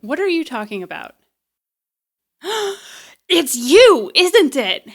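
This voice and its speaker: A woman's voice: high-pitched, big pitch swings, takes it slowly, a bit bright, heavily acted. A woman in an audio drama playing a stubborn, flippant, loyal repairperson with a casual, wry, defiant way of talking. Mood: indignant.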